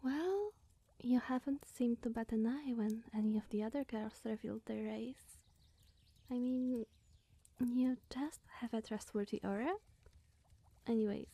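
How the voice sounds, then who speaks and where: A woman voicing a sweet, bashful, scatterbrained young witch in a soft, partly whispered ASMR roleplay, coy and rambling with musing hums. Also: on the high side, unhurried, pauses often, no room sound. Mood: coy, confiding. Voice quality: soft, breathy.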